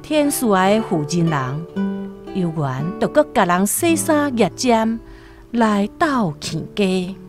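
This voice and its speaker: Taiwanese lady narrating a radio drama, slow storyteller, really lively pitch, guitar music behind. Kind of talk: scripted literary storytelling. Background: plucked guitar music.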